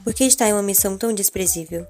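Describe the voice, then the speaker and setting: Quick, cold barbarian warrior voiced by a woman. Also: medium pitch, a little mellow, soft background music, close to an everyday voice. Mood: cold indifference. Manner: cool, formal, unimpressed. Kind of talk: scripted audio drama acting.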